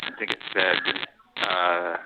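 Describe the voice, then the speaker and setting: Low-voiced man on a crackly phone call. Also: flat delivery, slow pace. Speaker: jail inmate calling his father. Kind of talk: casual phone conversation. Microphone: telephone.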